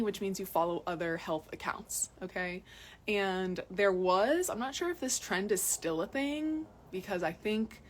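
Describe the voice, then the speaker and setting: A young adult woman from Massachusetts, chatting casually to viewers in a live video recorded outdoors on a phone, often repeating words for emphasis. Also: medium pitch. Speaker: health vlogger. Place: outdoors in cold mountains.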